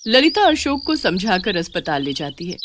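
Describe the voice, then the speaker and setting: A woman's voice: mid-range voice, sing-song, moderate pace, a bit bright, slight background noise. A woman narrating a scripted story as its storyteller.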